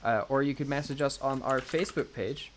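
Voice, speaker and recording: A man's voice: medium pitch, fairly even intonation, fairly quick, a little hiss or hum. A man co-hosting a casual unscripted podcast, rambling and joking with mock confidence. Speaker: podcast co-host.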